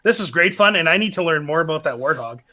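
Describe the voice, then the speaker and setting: A man hosting a casual podcast over a video call, joking around and quick to laugh. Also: fairly high pitch, expressive, a crowd in the background. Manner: jokey, quick to laugh, apologetic. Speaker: podcast host running the stream.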